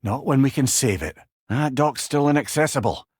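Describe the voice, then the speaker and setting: A man's voice: mid-range voice, lively intonation, normal speed, darkish tone, slightly rough, no room sound, clean studio sound. A man with a Scottish accent playing a witty, learned older companion, a talking head in a video game, wry and eloquent with old-fashioned wording. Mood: wry, advising. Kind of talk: video game character dialogue.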